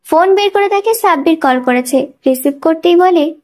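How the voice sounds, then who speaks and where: High-pitched woman narrating a story. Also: lively intonation, normal speed, a little mellow. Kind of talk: scripted narration of a romantic thriller story.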